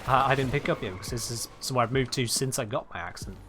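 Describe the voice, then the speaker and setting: A man's voice: mid-range voice, fast, music in the background. A British man chatting casually while he livestreams a video game, rambling and correcting himself as he goes. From United Kingdom. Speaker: livestream gamer. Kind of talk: casual chat while gaming.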